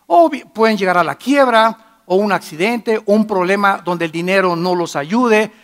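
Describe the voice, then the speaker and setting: Emphatic pastor with high voice. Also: male voice, moderate pace. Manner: emphatic, swings between loud and hushed. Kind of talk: sermon.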